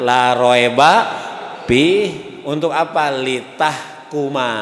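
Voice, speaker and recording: A man with a Sundanese accent giving a lively, joking mosque lesson, chanting Arabic verses slowly between his explanations. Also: medium pitch. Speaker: ustadz teaching a mosque study class. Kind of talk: sermon. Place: large mosque hall. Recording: echoey mosque hall.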